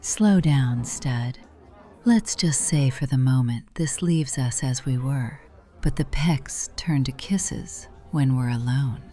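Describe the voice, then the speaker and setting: Mature female romance-game lead: very deep, mellow, breathy, affectionate, teasing but guarded. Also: takes it slowly, faint music behind. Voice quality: breathy, often whispery. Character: Nora, affectionate older aunt, teasing yet cautious lover. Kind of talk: visual novel voice acting.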